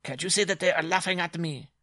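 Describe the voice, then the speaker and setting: A man's voice: a little high, fast talker, sharp tone, no echo, clean studio sound, pitched above the performer's own voice. A man voicing a tiny, proud male dwarf from a circus, indignant and vengeful, in a theatrical storybook manner. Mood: furious, protesting.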